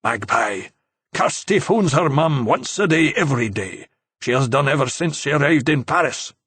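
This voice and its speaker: Audiobook guy doing scottish lawyer friend, stressed out, raspy edge, pushy. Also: mid-range voice, animated, normal speed, fairly bright tone, dry recording. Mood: tense. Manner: urgent, exasperated. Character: stressed Scottish lawyer, middle-aged man.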